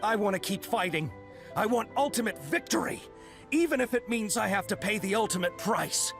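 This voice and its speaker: An eager young soldier, high-pitched for a man, with a voice that swings widely as he speaks earnestly and deferentially. Soft game music plays behind him. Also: animated, moderate pace. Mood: pleading. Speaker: game character. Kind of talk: video game voice acting. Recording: game audio with faint music.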